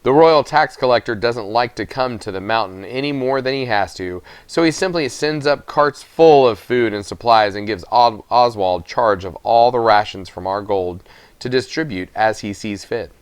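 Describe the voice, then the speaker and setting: A man reads a children's novel aloud as scripted narration. His voice sits at a medium pitch, and the sound is dry with little room around it. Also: normal speed, smooth, no room sound. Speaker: storybook reader.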